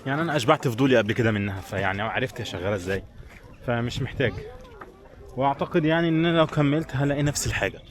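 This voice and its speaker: A man's voice: mid-range voice, animated, rapid-fire. A man with an Egyptian Arabic accent chatting informally and directly to viewers as a travel vlogger on the move outdoors. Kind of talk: casual walking vlog chat to viewers. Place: outdoors in a busy tourist village. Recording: crowd chatter, outdoor street sound. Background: crowd and outdoor sounds.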